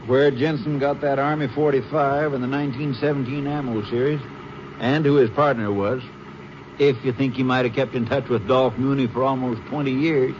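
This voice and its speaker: An actor playing a practical county sheriff riding with a ranger in a vintage radio drama, plain and thoughtful. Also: male voice, medium pitch, fairly even intonation, moderate pace, close to an everyday voice. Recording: muffled vintage radio with faint hiss.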